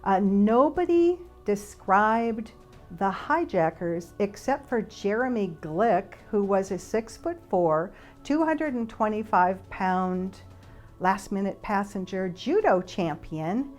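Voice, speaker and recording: A woman giving an informal explanatory monologue in a conversational, speculative way, halting with pauses. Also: medium pitch, lively intonation, a little mellow. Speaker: speaker, flight attendant and author.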